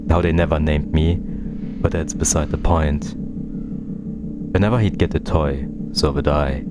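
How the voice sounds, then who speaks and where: Guy voicing a sad thoughtful twin from a visual novel, super deep, confessional, loud music under it. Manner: confessional, measured, pausing. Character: introspective, melancholy nameless twin narrating a visual novel. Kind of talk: scripted narration. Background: loud game music.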